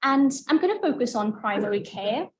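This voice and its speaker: A woman with a quiet voice giving an academic lecture, explaining in a measured way. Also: fairly high pitch, animated. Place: lecture hall. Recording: echoey lecture hall from far off.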